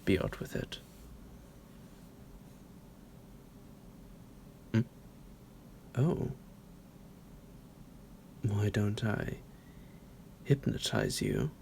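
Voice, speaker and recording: Deep, creaky, half-whispering psychotic vampire. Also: male voice, wildly swooping pitch, a little mellow, slight background noise, exaggerated voice. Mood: teasing, condescending. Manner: slow, drawn-out, theatrical, halting, with low chuckles. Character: psychotic male vampire doctor, menacing, smug, teasing captor. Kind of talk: ASMR roleplay monologue.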